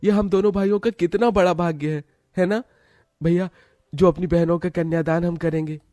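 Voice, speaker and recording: A man's voice: sits high, steady tone, normal speed, dark tone, no room sound, lightly acted. A man voicing two poor, devoted older brothers in a cartoon, speaking in an earnest, emotional way. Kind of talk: cartoon voice acting.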